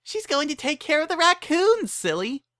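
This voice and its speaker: Man acting as excitable young female cartoon-style pony, high-pitched, bright, bubbly, gleeful party planner in a story reading. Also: normal speed, no echo, clean studio sound, exaggerated voice.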